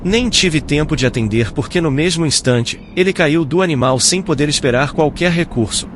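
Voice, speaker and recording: A man's voice: fairly high pitch, normal speed, close to an everyday voice. Man playing practical ancient traveller, noisy outdoors. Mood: puzzled. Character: practical male travelling companion in ancient times, puzzled. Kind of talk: dramatized audiobook acting.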